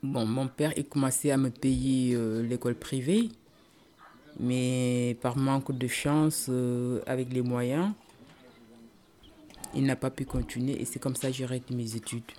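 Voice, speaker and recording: A woman's voice: extremely low pitch, fairly even intonation, mellow. Hesitant woman telling her story. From Senegal. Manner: halting, with many hesitations. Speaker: interviewee. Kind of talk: personal life story.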